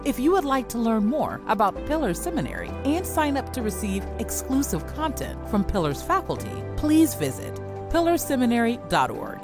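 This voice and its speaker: A woman's voice: fairly high pitch, huge pitch swings, moderate pace. A woman reading a polished, upbeat scripted podcast intro. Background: music bed.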